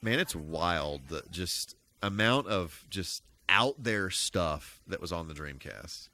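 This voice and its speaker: A man streaming a game and chatting casually, thinking aloud in a rambling, halting way with folksy, mild exclamations. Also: low-pitched, animated, bright, forceful tone. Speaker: live streamer, host. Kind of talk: casual gameplay live stream chat.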